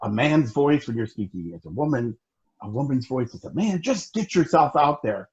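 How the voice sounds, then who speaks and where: A man leads a group warm-up over a video call, his voice rising and falling in a lively way. He coaxes the others to take part. The call audio sounds dull and lo-fi. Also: medium pitch, normal speed. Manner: lively, coaxing others to join in. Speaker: meeting activity leader.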